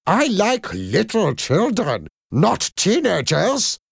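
Storybook caller, male performer: foreign woman, very high, abrupt, fussy and impatient. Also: wildly swooping pitch, slow, vocal fry, breathy edge, dry recording, clean studio sound, heavily acted. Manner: abrupt, exclaiming.

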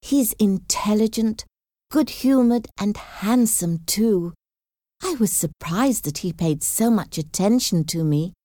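A woman's voice: mid-range voice, animated, takes it slowly, warm tone, dry recording, clean studio sound, voice pushed up. A woman voicing a sweet, trusting young woman in a storybook, with an earnest, gushing manner. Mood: admiring.

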